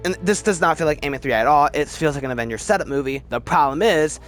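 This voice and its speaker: A man hosting a movie ranking video with casual, opinionated commentary in an animated, rambling, halting way that often repeats words. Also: a little high. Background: background music.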